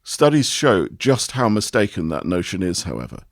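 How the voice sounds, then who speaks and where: Guy reading an article out loud, measured and expressive, very dry sound. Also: low-pitched, no room sound. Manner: measured, expressive reading with lively rise and fall. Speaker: reader of an article. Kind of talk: read-aloud article narration.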